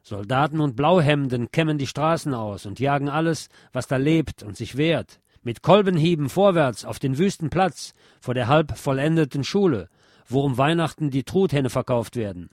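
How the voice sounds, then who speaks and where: A man reading a literary text aloud with expressive, emphatic delivery. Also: medium pitch, normal speed, no room sound, studio quality.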